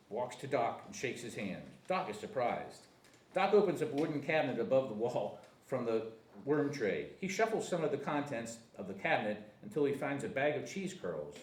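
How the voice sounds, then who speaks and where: A man's voice: medium pitch, a little mellow, far from the mic. A man reading stage directions at a comedy screenplay table read, sometimes stumbling over words. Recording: echoey room with faint noise.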